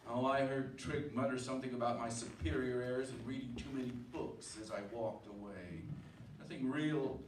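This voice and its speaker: An earnest family man in a radio drama, played by an amateur actor, hesitant but insistent, with a shaken edge. His voice is mid-pitched and sits far from the mic in an echoey room with clear background noise. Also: moderate pace, darkish tone, close to an everyday voice. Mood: bitter. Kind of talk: radio drama acting and first-person narration.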